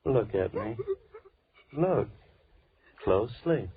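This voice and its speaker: An actor playing a menacing, deranged villain in 1940s radio horror, theatrical and volatile, shifting between coaxing and anger. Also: male voice, mid-range voice, animated, takes it slowly, husky, exaggerated voice. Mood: coaxing menace. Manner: theatrical, gloating, swinging from coaxing to angry. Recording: muffled old radio recording, crackly background.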